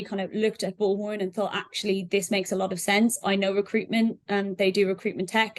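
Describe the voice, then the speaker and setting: Woman chatting through interview answers, thoughtful and explanatory, normal mid pitch. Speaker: software sales representative, guest.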